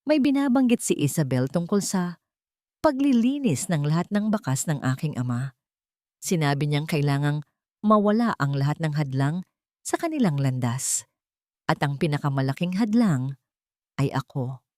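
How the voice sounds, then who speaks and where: A woman voicing a wronged rich man who speaks calmly and gravely, confiding a secret in a dramatic audiobook. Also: low-pitched, no echo, clean studio sound. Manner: calm, grave, deliberate.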